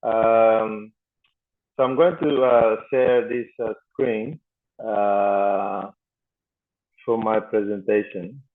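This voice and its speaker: A man from Timor-Leste gives a presentation over a video call. He speaks slowly and hesitantly, with many fillers. The audio is thin and phone-like. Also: fairly low pitch, steady tone. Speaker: guest speaker.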